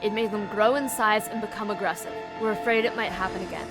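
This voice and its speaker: A woman's voice: mid-range voice, quick pace, music in the background, natural voice. A woman voicing a level-headed, tough young heroine in a comic dub, earnest and firm. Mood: placating.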